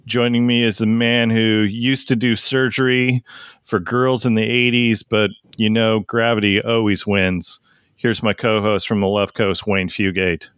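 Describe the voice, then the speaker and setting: A middle-aged man hosting a music podcast, joking his way through relaxed introductions. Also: fairly low pitch, a little slow, smooth, dry recording, clean studio sound. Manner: jokey, easygoing introductions. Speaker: podcast host. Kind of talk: casual podcast chat.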